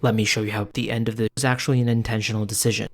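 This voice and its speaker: Low-voiced man narrating a scripted video essay. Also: little pitch movement, racing.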